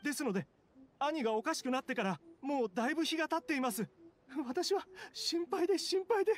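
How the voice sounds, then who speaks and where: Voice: high-pitched, lively intonation, brisk. An actor voicing an earnest, anxious townsman, a younger brother who pleads formally and very politely, in a Japanese anime game. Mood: worried.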